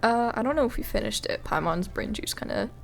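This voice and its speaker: Woman, game streamer, casual commentary, vocal fry, music behind. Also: on the high side, expressive, brisk.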